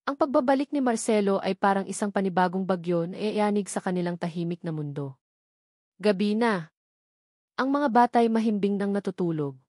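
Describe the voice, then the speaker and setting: A woman's voice: medium pitch, moderate pace, darkish tone, no room sound, clean studio sound. A woman with a Filipino accent reads a dramatic romance story as a scripted narration.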